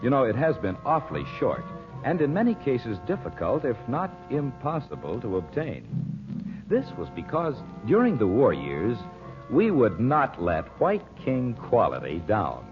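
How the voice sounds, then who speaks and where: A man's voice: mid-range voice, huge pitch swings, measured pace. A man with an American accent announcing and narrating in scripted 1940s radio style. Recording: muffled old radio broadcast with music.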